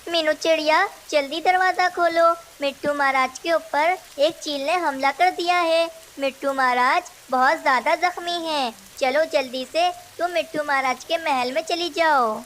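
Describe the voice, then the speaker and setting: A sly, lazy cartoon crow acted by a woman speaks in a high voice. He is a brazen male schemer, always out to trick the other birds. A faint hiss sits behind the voice. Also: normal speed, hardly any pauses, fairly bright tone. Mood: deceitful urging. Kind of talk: Hindi children's cartoon dialogue.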